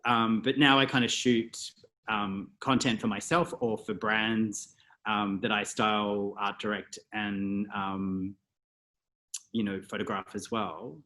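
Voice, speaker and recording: An Australian man in a casual interview, talking reflectively and thinking aloud with many pauses and fillers. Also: fairly low pitch, level tone, bright, forceful tone. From Australia (Melbourne). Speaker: guest, stylist and photographer.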